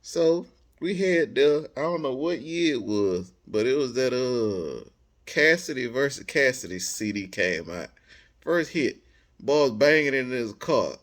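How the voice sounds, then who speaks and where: Animated American man telling stories. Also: mid-range voice, a little slow. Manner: animated, slangy, acts out quoted dialogue. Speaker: storyteller, host of a personal story series. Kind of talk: casual personal storytelling.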